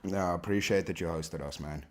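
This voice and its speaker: A man's voice: sits low, brisk. A man who has just debated, chatting in a laid-back way and stumbling over and repeating his words. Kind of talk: casual livestream chat.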